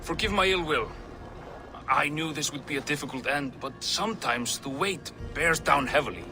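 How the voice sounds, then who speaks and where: Exasperated, high-voiced man played by an actor. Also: moderate pace, over music, close to an everyday voice. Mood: weary. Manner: exasperated, blunt. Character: gruff, weary son tending his senile father. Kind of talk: video game cutscene acting.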